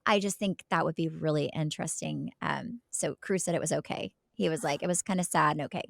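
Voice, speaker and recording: Chatty American woman, lowish voice. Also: brisk, creaky, dry recording, clean studio sound. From Oklahoma, United States. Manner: rambling, chatty, emphatic. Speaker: podcast co-host. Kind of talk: casual chat, telling family anecdotes.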